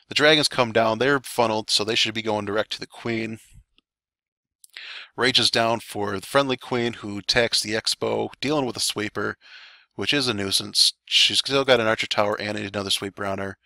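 A man commentating a strategy game war replay in a relaxed play-by-play, his voice hoarse and raspy today, with fillers and occasional coughs. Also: fairly low pitch, no room sound. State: voice getting raspy. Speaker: clan war recap commentator. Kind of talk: gameplay commentary.

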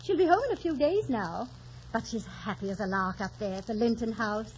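A woman's voice: a little high, animated, brisk, a little mellow, lightly acted. An actress playing a frank, motherly housemaid and confidante, plain-spoken and chiding, in a radio drama. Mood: reassuring. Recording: dull old radio broadcast, hiss.

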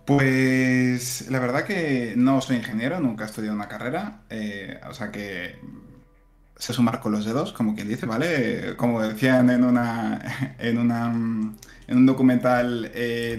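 A man in his thirties from southern Spain, chatting casually as a guest, laughing lightly and restarting his sentences. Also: medium pitch. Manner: relaxed, chuckling, many fillers and restarts. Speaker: guest software engineer and teacher. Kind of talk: casual interview chat. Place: room at home. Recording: echoey room, faint music.

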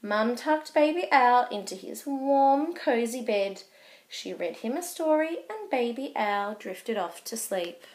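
A woman in her thirties reading a picture book aloud to young children, slow, gentle and expressive, with asides to her listeners. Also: a little high. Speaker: children's story reader. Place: small room with bookshelves, indoors.

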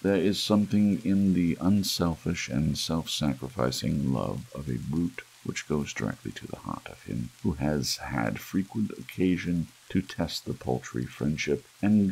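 A low-voiced man reads a gothic horror tale as an ASMR audiobook. His voice is husky and full of gravelly fry. He moves through the text in a measured way, leaving deliberate pauses between phrases. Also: darkish tone, low-quality recording. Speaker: audiobook narrator.

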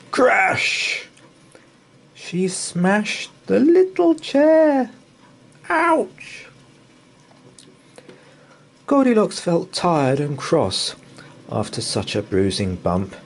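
A man reading a picture book aloud as a bedtime story, in an expressive, sing-song manner. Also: mid-range voice, slightly rough. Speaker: children's story reader. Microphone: camera above a picture book.

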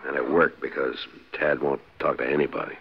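An actor playing a steady, authoritative American frontier marshal in a 1950s radio western. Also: male voice, fairly quick, a little hiss or hum. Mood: earnest, persuasive. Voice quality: very deep, husky. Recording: muffled 1950s radio recording.

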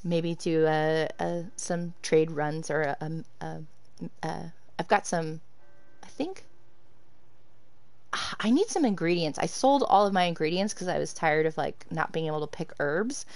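A woman's voice: low voice, measured pace, a little mellow, dry recording. A woman streaming a game and chatting casually with her viewers, laughing often as she answers them. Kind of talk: casual live-stream chat while gaming.

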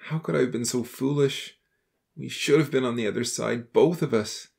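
A man playing a grief-stricken youth in a dramatised audiobook, tearful, halting and pleading through sobs. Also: mid-range voice, vocal fry, darkish tone, close to an everyday voice. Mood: tearful remorse. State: sobbing.

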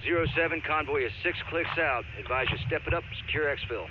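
A man acting a confident, brash air-support commander, giving crisp orders by radio in a war game. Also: on the high side, brisk, close to an everyday voice. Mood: brisk, commanding. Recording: dull radio, gunfire and vehicle noise.

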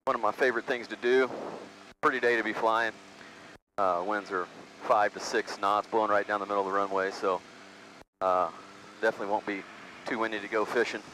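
A man's voice: fairly low pitch, steady tone, brisk, rough recording. A young man flying a small plane, chatting casually through his headset mic and thinking aloud with frequent restarts. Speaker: vlog host and pilot. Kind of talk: casual narration while flying. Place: small open-sided plane cockpit in flight. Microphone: aviation headset mic. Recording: loud engine and wind noise.

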